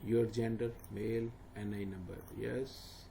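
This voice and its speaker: A man who speaks as a guides a viewer through an online form, repeating steps plainly with fillers. His voice is low and gravelly with little rise and fall. Steady hiss and noise sit under the recording. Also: unhurried. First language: not English. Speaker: tutor. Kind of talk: screen-recorded walkthrough. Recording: noisy home recording.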